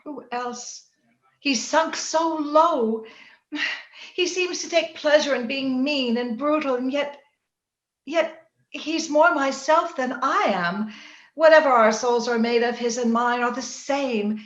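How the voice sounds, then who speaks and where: A woman playing a proud, willful young gentlewoman torn by romance, theatrical and emotional in old-time radio drama style. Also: high voice, slow. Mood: wistful, torn. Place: indoor room, recorded remotely over video call. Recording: roomy, dull video-call recording.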